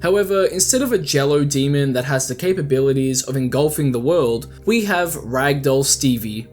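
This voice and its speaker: A man's voice: medium pitch, a little mellow. A man hosting a casual scripted commentary video, talking conversationally with jokey asides. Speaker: video host. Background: background music.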